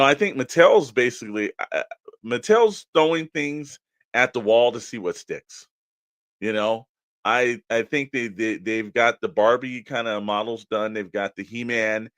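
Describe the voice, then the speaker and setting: A man's voice: fairly low pitch, a bit bright, no echo. A man co-hosting a podcast, chatting casually and off the cuff, giving his opinions in a rambling, colloquial way. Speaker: podcast co-host discussing collectible toys. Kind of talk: casual unscripted opinion chat.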